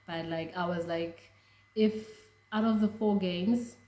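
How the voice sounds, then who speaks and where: A young South African woman whose first language is Tswana, chatting excitedly about rugby with frequent laughs and restarts. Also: fairly low pitch, slow pace, echoey room. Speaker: rugby fan vlogger. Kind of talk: casual reaction chat.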